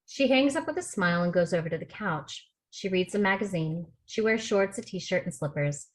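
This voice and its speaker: A woman with a fairly low voice narrates a film script. She reads the stage directions plainly between the actors' parts on a video call. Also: animated, moderate pace. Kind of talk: scripted narration at a table read.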